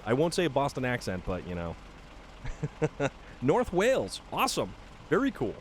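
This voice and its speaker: A man's voice: mid-range voice, huge pitch swings, normal speed, slight background noise. A man from Massachusetts chatting casually with viewers while he plays a driving game, rambling with fillers and thanking people by name. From Massachusetts, New England. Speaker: streamer. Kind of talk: casual live-stream chat while playing.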